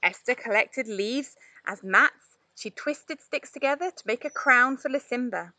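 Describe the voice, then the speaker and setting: A woman reading a children's storybook aloud in an expressive narrating style. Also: a little high, a little slow, no echo. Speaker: storybook reader.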